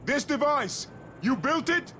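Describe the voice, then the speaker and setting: A man's voice: very high voice, expressive, unhurried, noisy background. A man playing an earnest, formal human soldier who is a guard captain, in a video game cutscene. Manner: earnest, formal, puzzled questions. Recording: cutscene with music behind.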